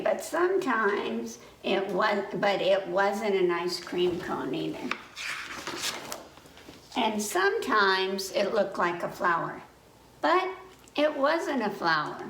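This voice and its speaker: Female storytime host, lively pitch swings, unhurried delivery, chatty questions to young listeners, roomy sound. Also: vocal fry, distant mic. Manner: animated, asks listeners questions, chatty asides. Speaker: children's library storytime host. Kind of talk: reading picture books aloud to children. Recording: echoey room, faint background noise.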